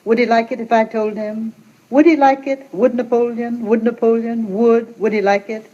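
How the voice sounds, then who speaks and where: A woman's voice: a little high, steady tone, moderate pace, warm tone. A woman, a poet, reciting her own repetitive verse on an old recording. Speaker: poet reading own work. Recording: dull lo-fi archival recording.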